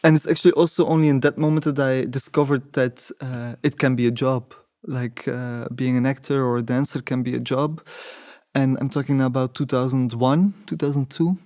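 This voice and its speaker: Soft-spoken, mellow man with a Dutch background. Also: medium pitch, fairly even intonation, brisk, dry recording, studio quality. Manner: thoughtful, many hesitations and restarts. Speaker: interviewee, choreographer. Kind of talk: reflective interview answers.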